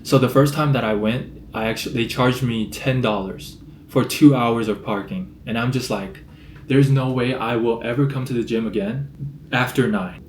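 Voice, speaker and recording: A young man chatting through his daily routine in a relaxed, storytelling way, filling his talk with "like", his voice deep in the morning. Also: moderate pace, echoey room, a little hiss or hum. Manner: relaxed storytelling, lots of "like" fillers. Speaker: vlogger. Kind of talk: casual day-in-the-life vlog talk.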